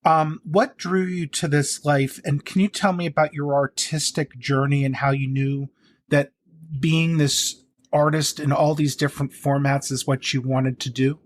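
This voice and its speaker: A man's voice: a little high, steady tone, a little slow. A man hosting a podcast in a friendly interview style, speaking effusively to his guest. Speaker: podcast host.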